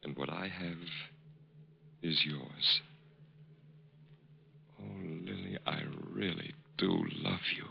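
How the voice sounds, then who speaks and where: An actor in an old radio drama playing a smooth con artist posing as a lovesick army major, halting and pleading. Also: male voice, sits low, exaggerated voice. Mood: bashful, scheming. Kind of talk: mid-century American radio drama acting. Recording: muffled, noisy old radio recording.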